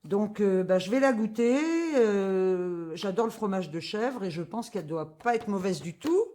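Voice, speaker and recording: A woman's voice: medium pitch, a little slow, warm tone. A woman talks through her shopping haul for her viewers. She rambles casually, dropping fillers and asides between prices. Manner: chatty, lots of fillers and asides. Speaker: vlogger. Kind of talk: casual grocery haul vlog.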